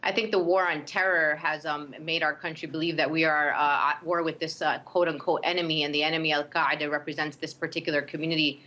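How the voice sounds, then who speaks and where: Low, bright-voiced Brooklyn woman. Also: little pitch movement, quick pace, few pauses. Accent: Brooklyn New York. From Brooklyn, New York. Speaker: interview guest, community advocate. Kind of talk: spoken advocacy in an interview.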